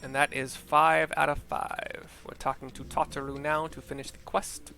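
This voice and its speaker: A man's voice: fairly high pitch, level tone, normal speed, creaky, sharp tone. A man giving casual commentary on a video game, reading out the story and muttering asides as he goes. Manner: mutters asides and spells out names. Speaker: video game player narrating a playthrough. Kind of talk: casual gameplay commentary with read-aloud narration. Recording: music behind the voice.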